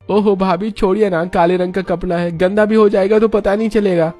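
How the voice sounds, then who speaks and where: A man voicing a lazy, cheerful young brother-in-law in a cartoon, with a boyish voice and familiar, drawn-out delivery. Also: high voice, fairly even intonation, a little mellow, pitched above the performer's own voice, lightly acted. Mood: breezy.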